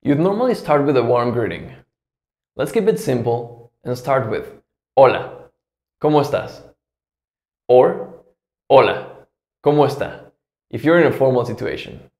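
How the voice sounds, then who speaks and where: A man in his twenties with a Mexican accent, a native Spanish speaker teaching a scripted language lesson with deliberate enunciation. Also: mid-range voice, animated, gravelly, darkish tone, roomy sound. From Mexico, Guadalajara in Jalisco. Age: 20s.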